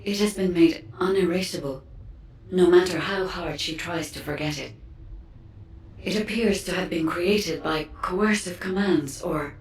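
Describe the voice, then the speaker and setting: An actress playing a solemn, purposeful woman guide in a video game, speaking calmly and deliberately. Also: fairly low pitch, close to an everyday voice. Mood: hushed, intent. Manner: calm, deliberate, serious. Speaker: game character. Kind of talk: scripted video game dialogue. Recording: distant, echoey game audio.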